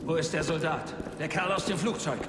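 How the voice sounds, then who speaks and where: An actor in a German game dub playing a curt, commanding, menacing intelligence agent. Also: male voice, fairly high pitch, fairly quick, close to an everyday voice. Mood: displeased, demanding. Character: menacing American agent leading armed insurgents. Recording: echoey hall, loud ambient game noise.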